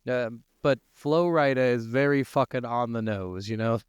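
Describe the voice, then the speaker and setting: A man's voice: medium pitch, moderate pace, clear, resonant tone, dry recording, muffled recording. A man co-hosting a podcast in casual banter, talking in a joking, sweary way. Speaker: podcast co-host. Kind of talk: casual banter between co-hosts.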